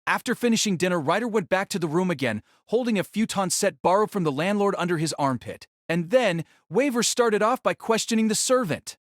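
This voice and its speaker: Higher-pitched male novel narrator. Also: expressive, brisk, hardly any pauses, dry recording, studio quality.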